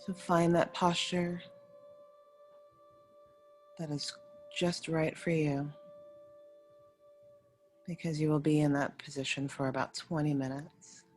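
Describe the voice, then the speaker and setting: A woman with a low-pitched voice leads a guided meditation over faint music. She speaks as a yoga nidra teacher, giving soothing instructions in short, unhurried phrases and leaving pauses between them. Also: level tone.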